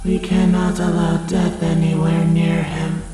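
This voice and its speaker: A woman's voice: low-pitched, little pitch movement, a little slow, over music, poor audio. A woman voicing the obsessive, coldly protective inner voice of a female mafia boss in an audio roleplay, chanting short insistent phrases. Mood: obsessive, menacing.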